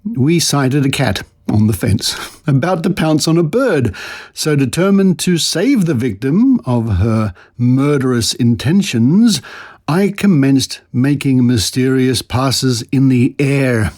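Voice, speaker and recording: A man presenting a podcast, reading old newspaper articles aloud in an expressive, theatrical storytelling manner with brief commentary of his own. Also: mid-range voice, takes it slowly.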